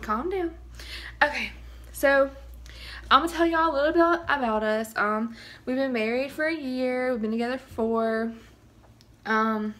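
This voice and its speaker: A woman's voice: fairly high pitch, fairly bright tone. Chatty woman, slightly scratchy. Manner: chatty, nervous, keeps saying y'all. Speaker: vlogger. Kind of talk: casual product review vlog. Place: small bedroom indoors. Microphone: phone camera close up.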